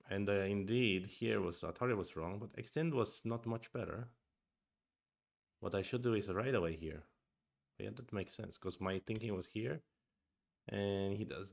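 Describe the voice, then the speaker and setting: A man's voice: low-pitched, vocal fry. A man streaming a review of his own go games, thinking aloud in a casual chat with murmured asides and restarts; his first language is Swedish.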